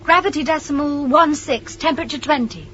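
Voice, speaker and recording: An actress playing a timid, anxious young spaceship crew member in a 1980s radio drama. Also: female voice, high voice, a little fast, fairly bright tone, a little hiss or hum. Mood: tense. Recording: dull, muffled old radio recording.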